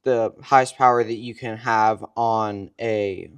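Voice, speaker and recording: Clear-voiced young man giving a tutorial. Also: on the low side, fairly even intonation, measured pace. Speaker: co-presenter demonstrating equipment. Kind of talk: step-by-step tutorial explanation.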